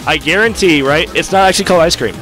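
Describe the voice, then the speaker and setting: A man with a rough, hoarse voice from being sick, commentating a fighting game tournament and breaking down combos. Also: on the high side, over music, rough recording. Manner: fast, analytical breakdowns of combos. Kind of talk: casual esports commentary.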